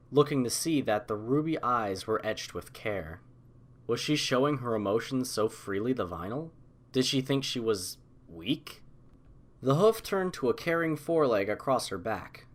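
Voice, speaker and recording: Man reading a story expressively. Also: mid-range voice. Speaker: audiobook narrator. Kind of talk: scripted story narration.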